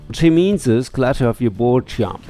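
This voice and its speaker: Casting: man as breezy canine rogue, fantasy game, slangy and chummy, animated delivery. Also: mid-range voice, normal speed, soft background music, lightly acted. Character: breezy, cheeky male dog-creature rogue.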